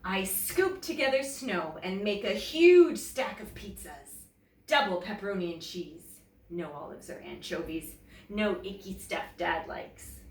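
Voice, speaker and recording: A woman reads a children's story like a teacher addressing young listeners. Her delivery is slow and deliberate, with lively swings in pitch. The recording is roomy, a little distant from the mic, with faint noise. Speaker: teacher reading a story aloud. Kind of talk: animated children's picture-book read-aloud.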